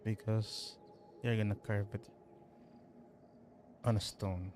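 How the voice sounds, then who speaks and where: A man's voice: fairly low pitch, little pitch movement, slow pace, a little mellow, slight background noise. A man making brief, offhand remarks as he streams a video game. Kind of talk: casual game commentary. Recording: game music playing behind.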